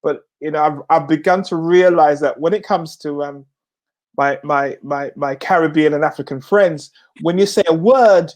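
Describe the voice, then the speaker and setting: A man's voice: fairly high pitch, normal speed, a little mellow. A man from Jamaica hosting a talk show, chatting casually and often repeating and restarting his words. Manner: stammering restarts, repeats phrases. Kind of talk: casual interview chat.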